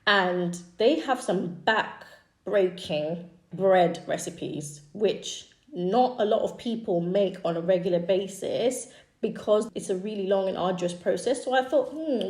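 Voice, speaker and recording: A woman's voice: medium pitch, darkish tone. Chatty woman in an echoey room. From East Africa. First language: Swahili. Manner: chatty, with fillers and quick asides. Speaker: YouTuber. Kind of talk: casual screen-share walkthrough.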